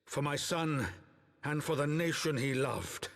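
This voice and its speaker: Fantasy game bereaved lord, slow, gravelly, grief-stricken and commanding, big reverb. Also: male voice, on the high side, a bit bright. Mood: anguished, commanding. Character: grieving noble father commanding others to give chase. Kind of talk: dramatic fantasy game cutscene acting. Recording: long reverberant hall.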